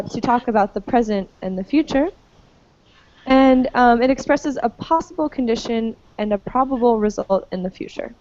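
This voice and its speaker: A woman's voice: a little high, a little mellow, muffled recording. Patient woman teaching English online. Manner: patient, many fillers. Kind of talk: live online class, friendly explaining. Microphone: computer mic on a video call.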